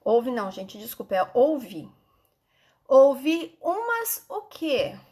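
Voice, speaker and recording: Higher-pitched Brazilian woman teaching children. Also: very animated, slow, darkish tone, breathy edge. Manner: instructive, frequent check-in questions. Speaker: schoolteacher. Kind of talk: explaining textbook exercises to children in an online lesson.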